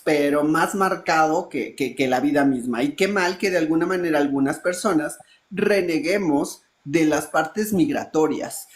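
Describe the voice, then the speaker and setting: A woman speaking as a panelist, animated and emphatic, with colloquial asides. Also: sits low, roomy sound. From Mexico. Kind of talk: panel discussion.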